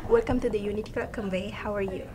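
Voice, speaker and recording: A teenage girl hosting a school interview segment, asking questions in a steady, scripted way. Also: mid-range voice, fast, loud music behind the voice. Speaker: student interviewer.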